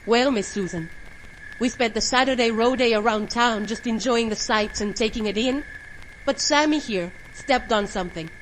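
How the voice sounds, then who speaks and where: Woman playing a gentle, worried mother. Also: on the high side, normal speed, slight background noise, natural voice, rough recording. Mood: polite, worried. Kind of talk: audio horror drama acting.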